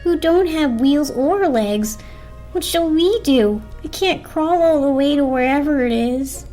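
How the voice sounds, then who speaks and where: A plaintive, easily hurt electric blanket played by a woman complains in a high voice. It is a humorless, fretful storybook character, with soft music behind it. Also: expressive, measured pace. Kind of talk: dramatic storybook reading. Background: orchestral film score.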